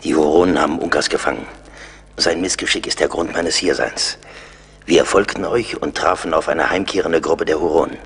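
A man's voice: a bass voice, moderate pace, gravelly, fairly bright tone, husky, heavily acted. A man playing a seasoned, loyal and wry frontier scout in a German radio play. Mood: wry, narrating.

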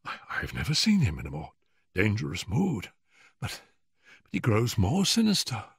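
A man's voice: fairly low pitch, wildly swooping pitch, moderate pace, creaky, breathy edge, no echo, clean studio sound, pitched above the performer's own voice, full character voice. A man voicing an anxious, deferential male secretary in an audiobook story, hesitant and apologetic. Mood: nervous, worried.

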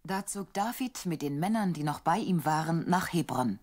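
Woman narrating a bible audio drama, low voice, measured delivery. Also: expressive, darkish tone. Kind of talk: scripted Bible story narration.

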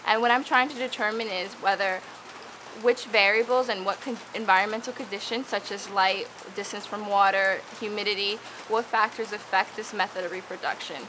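A young woman from the Dominican Republic, a student researcher, talking eagerly to camera in a casual interview outdoors among tropical plants. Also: medium pitch. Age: young adult. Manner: eager, bubbly, quick restarts. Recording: steady rain noise behind.

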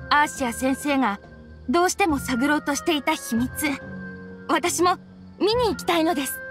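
Young female scholar actress, anime game: high, polite, resolute delivery, background music. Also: natural voice. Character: earnest, determined young woman scholar, polite. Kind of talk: Japanese anime game voice acting.

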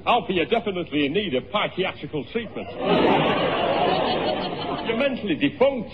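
High-pitched, breezy, word-mangling comedy man. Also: sing-song, moderate pace, long reverb tail, a loud crowd. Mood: breezy, pompous. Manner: breezy, bantering, pompous malapropisms. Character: jovial, breezy pub-going man who mangles big words. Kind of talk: 1960s British radio comedy acting. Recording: noisy, dull old radio recording, loud audience.

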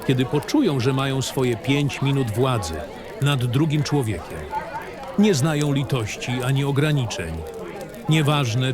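A man giving a grave, dramatic first-person narration as a war-weary, cynical baron in a fantasy audio drama. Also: medium pitch. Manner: grave, literary storytelling.

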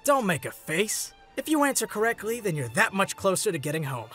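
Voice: mid-range voice, sing-song, fairly quick, a bit bright. A performer voicing a smug, sarcastic, petty young trickster in a comic dub, taunting and theatrical, over music. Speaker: voice actor in a fan comic dub. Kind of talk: animated comic dub acting.

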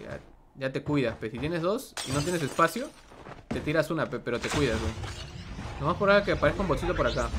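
A man, streaming a game live, chatting casually and greeting viewers by name. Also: a little high. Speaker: gaming streamer. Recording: background noise.

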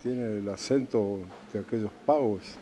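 A man asking interview questions in a halting, probing manner. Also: on the low side, warm tone, a little hiss or hum. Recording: crowd murmur behind. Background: crowd.

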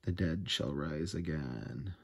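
A man's voice: very deep voice, lively intonation, slow pace, vocal fry, breathy edge. A man hosting a casual unboxing vlog, enthusiastic and full of small fillers as he goes through his purchases. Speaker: video host. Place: indoors at a table, off camera.

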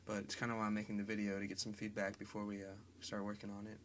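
A congested young man with a cold introduces his song demo in a quiet, mumbled, quick casual way, talking into a webcam in a small bedroom. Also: on the low side, completely flat delivery, a little hiss or hum. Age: young adult. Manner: quiet, mumbled, fast and filler-heavy talk. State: congested with a cold and sore throat. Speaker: singer-songwriter. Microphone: webcam close up. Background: acoustic guitar.

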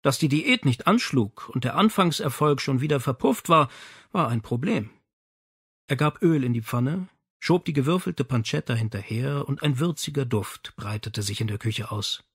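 A man's voice: medium pitch, lively intonation, normal speed, slightly rough, no echo, clean studio sound. A man reading a novel aloud as scripted audiobook narration.

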